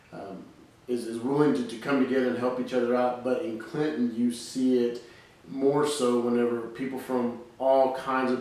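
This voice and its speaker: Middle-aged man, thoughtful and halting, heavy room echo, distant mic. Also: medium pitch, a little mellow. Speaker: interviewee. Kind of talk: interview. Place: office. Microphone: far camera. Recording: very echoey office from far off.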